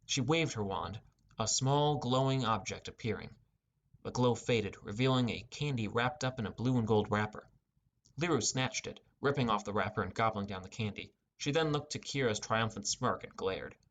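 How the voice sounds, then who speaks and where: A man's voice: on the low side, normal speed, a little hiss or hum. A man narrating a scripted first-person fantasy adventure as an audiobook.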